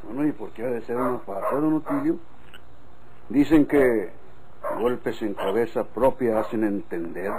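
A man's voice: mid-range voice, unhurried, noisy background, lightly acted. An actor playing a reasonable, conciliatory rural man with a Mexican accent in an old radio drama. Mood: reasoning.

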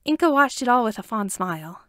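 Lively high-pitched woman narrating a story. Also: fairly quick, no room sound, studio quality. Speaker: amateur audiobook narrator.

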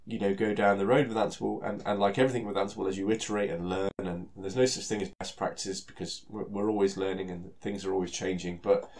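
A man with a British accent and a head cold that makes him sound nasal and stuffy, presenting a technical talk in a relaxed way, full of fillers and repeated words. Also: low voice, fast talker, hardly any pauses. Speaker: solution architect giving a technical talk.

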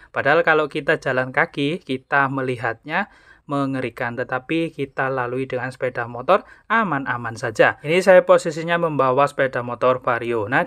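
A man's voice: medium pitch, bright, forceful tone, dry recording, clean studio sound. A man narrating a travel vlog as a voice-over, guiding viewers instructively and addressing them as friends. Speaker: travel vlogger.

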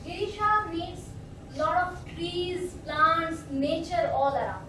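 A young woman, hosting a workshop meetup and explaining a group game to volunteers, with many fillers. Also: very high voice. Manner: speaks slowly with many fillers, explaining patiently. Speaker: meetup facilitator. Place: hotel meeting room, indoors. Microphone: far camera. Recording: echoey room from far off, noisy.

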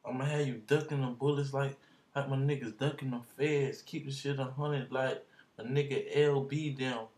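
A young man talking into his webcam in a dark bedroom, bragging in slang, half-singing some lines. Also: mid-range voice, fairly even intonation, normal speed, reverberant. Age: young adult. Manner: boastful slangy banter, drifts into half-sung lines and laughs. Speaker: vlogger. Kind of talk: casual rambling vlog. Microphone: computer webcam up close.

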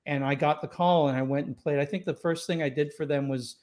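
Older guy on a video call from a home room, laid-back storytelling, slightly high voice, lots of ums. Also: steady tone, a little fast, a little mellow. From born in Scotland, raised in Los Angeles. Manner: easygoing, reflective, many fillers and restarts. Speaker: saxophonist guest. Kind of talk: relaxed storytelling interview. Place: home room with wooden doors and shelves. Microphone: studio mic on boom arm, video call.

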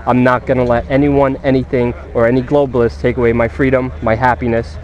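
A man's voice: medium pitch, level tone, brisk, darkish tone, muffled recording. A man reflecting casually and personally in a vlog, speaking outdoors into a handheld camera. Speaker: vlogger being interviewed. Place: grassy park outdoors.

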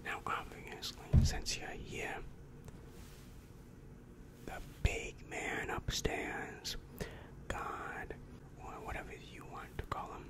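Young man as a slow whispering demon counselor. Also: lightly acted. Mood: calm, reassuring. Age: young adult. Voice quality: breathy unvoiced whisper. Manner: slow, soothing, patient explaining. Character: calm, reassuring male demon guidance counselor of hell. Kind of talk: ASMR roleplay. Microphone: close-up microphone with foam windscreen.

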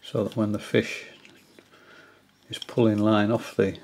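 An older man at home giving a hesitant, casual tutorial, often pausing with fillers as he shows his reels. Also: lowish voice, flat delivery, a little mellow. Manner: hesitant, many fillers and restarts. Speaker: angling hobbyist giving a tutorial. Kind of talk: casual explanatory demonstration. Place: indoors, small room at home.

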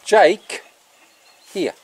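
A middle-aged man in a casual, rambling vlog, talking haltingly outdoors on a farm into a handheld camera. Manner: halting, breaking off to talk to animals. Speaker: farm vlogger. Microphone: handheld camera at arm's length.

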